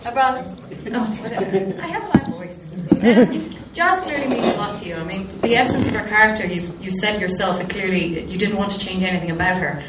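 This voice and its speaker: A woman's voice: mid-range voice, fairly quick. A woman asking a thoughtful question from the audience, hesitant at first. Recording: echoey hall, distant mic, loud background noise.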